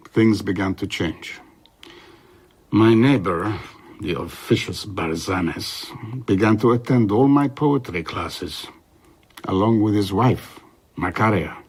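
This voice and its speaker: An actor playing a proud, grave older traveller in a radio drama, husky, with a measured, solemn delivery. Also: male voice, lowish voice, a little mellow. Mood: grave recollection. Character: proud, grave older male traveller recounting his past.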